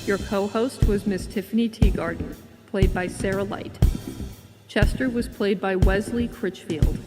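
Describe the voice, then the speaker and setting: A woman reads scripted end credits as an announcer. Her medium-pitched voice rings with long reverb over loud music driven by drums.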